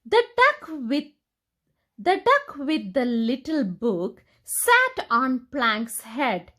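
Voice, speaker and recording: A woman reading a picture book aloud to young children in an expressive, deliberate, sing-song storytelling manner. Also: high-pitched.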